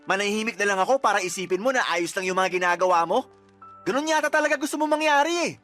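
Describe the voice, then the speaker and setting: A man's voice: high voice, expressive, quick pace, sharp tone, faint music behind. A man acting as a fed-up, resentful boyfriend in a Tagalog radio romance drama, emphatic and accusing. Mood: frustrated, accusing. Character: fed-up, resentful boyfriend breaking up with his girlfriend.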